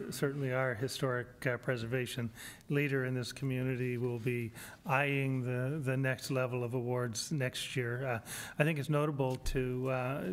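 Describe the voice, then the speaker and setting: A man on a city council giving a measured report, halting with fillers. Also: medium pitch. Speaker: council member. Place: council chamber dais. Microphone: desk mic.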